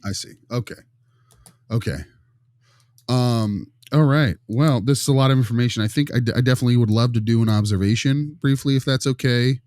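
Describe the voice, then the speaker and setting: A man playing a friendly, tactful school consultant in a role-play, halting and often rephrasing his questions. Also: medium pitch, a little mellow, no room sound, studio quality, close to an everyday voice. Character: friendly, tactful male school behavior consultant probing gently. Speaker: visiting behavior consultant.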